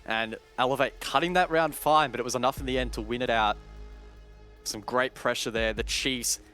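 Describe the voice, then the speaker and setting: A man giving tactical color commentary on a competitive shooter match, steady and detailed. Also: medium pitch, moderate pace, soft background music. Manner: steady, detailed tactical explanation with occasional self-corrections. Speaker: esports analyst caster. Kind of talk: analytical esports color commentary.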